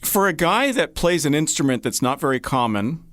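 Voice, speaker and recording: A man's voice: fairly high pitch, sing-song, moderate pace, fairly bright tone, no echo. Male radio host, dry studio sound. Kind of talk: conversational radio interview.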